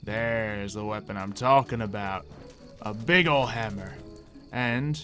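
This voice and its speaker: Man chatting casually over loud music. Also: fairly low pitch, takes it slowly. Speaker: gaming video creator. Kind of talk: casual improvised Minecraft roleplay commentary. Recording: music under the voice. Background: game music.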